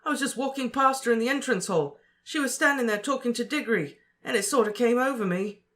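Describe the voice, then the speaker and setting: A reader doing a loud, excitable teenage boy. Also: medium pitch, moderate pace, pitched above the performer's own voice. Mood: panicked. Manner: loud, agitated, exclaiming.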